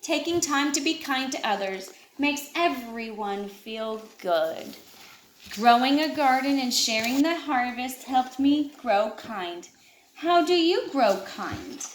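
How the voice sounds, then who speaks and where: A woman reading a children's picture book aloud with an expressive, lilting delivery. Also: high voice, takes it slowly, a little hiss or hum. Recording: echoey room.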